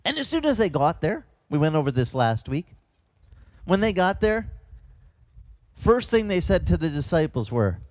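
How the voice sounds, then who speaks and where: A man raised speaking Italian, preaching a sermon as pastor in a conversational, animated storytelling way, with quick asides and restarts. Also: a little high, no room sound. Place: outdoors.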